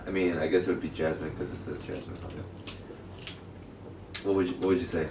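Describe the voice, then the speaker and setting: A man's voice: low-pitched, fairly even intonation, normal speed. A man co-hosting a podcast in casual chat, rambling and joking and laughing easily. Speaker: podcast co-host. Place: home studio room. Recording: echoey room, mic far off, background noise.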